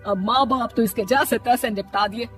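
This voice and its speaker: A sharp-tongued older mother-in-law from a cartoon moral tale, acted out by a woman. Her voice sits fairly high and she scolds with exasperated sarcasm. Clear background music plays under her. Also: moderate pace, lightly acted. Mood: scolding, exasperated. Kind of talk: Hindi cartoon moral story acting. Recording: music behind the voice.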